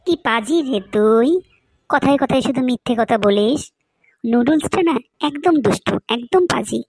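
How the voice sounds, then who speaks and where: A woman's voice: fairly high pitch, normal speed, darkish tone, no room sound, rough recording. A woman voicing a chatty, cheeky new schoolchild in a comedy cartoon, teasing and eager. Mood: miffed.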